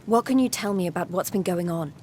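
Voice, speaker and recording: An actress playing a stern, resolute young martial artist in a video game, speaking firmly and with composure. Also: female voice, on the low side, quick pace, close to an everyday voice. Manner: firm, composed, disdainful of villains. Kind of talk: video game heroine acting.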